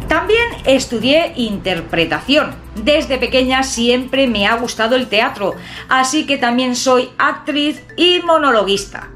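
A woman in her fifties talks straight to her webcam, warm-humoured and jokey, her southern-tinged accent inherited from her father. Her voice sits a little high and swings widely as she tells her stories. Soft music plays faintly beneath. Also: unhurried. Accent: southern Spanish-sounding, from an Extremaduran father. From Catalonia, Spain. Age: 50s. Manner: chatty, expressive, jokey, lively hand gestures. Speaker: urban exploration vlogger. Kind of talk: personal talk to camera. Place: small home room with shelves and a computer.

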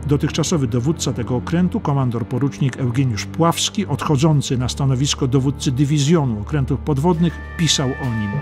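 A man reading a scripted historical audiobook as narrator, in a measured, dramatic storytelling manner. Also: medium pitch, a little mellow. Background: music under the narration.

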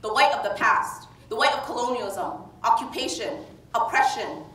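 A young woman recites spoken word with forceful emphasis. Her voice is high and bright. She is picked up from a distance in a roomy space. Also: moderate pace. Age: young adult. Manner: emphatic, declamatory. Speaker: slam poet. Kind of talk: spoken word poetry performance. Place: indoor stage in front of a projection screen. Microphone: far camera. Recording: roomy, distant microphone, background noise.

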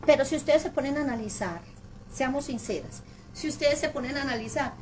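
A woman with a Colombian Paisa accent talks through her cooking in a high, chatty voice, repeating words as she goes. She sounds a little away from the microphone. Clear kitchen noise runs around her. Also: a little fast, a little mellow, recorded from a distance, poor audio. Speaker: home cook, video host. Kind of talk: casual live cooking demonstration. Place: home kitchen, indoors.

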